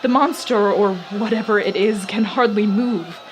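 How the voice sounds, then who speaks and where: A woman playing an on-scene radio news reporter in a radio play, giving dramatic, halting eyewitness commentary in growing horror. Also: medium pitch, a little hiss or hum, lightly acted. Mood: horrified awe. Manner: dramatic, halting, with pauses and repeated words.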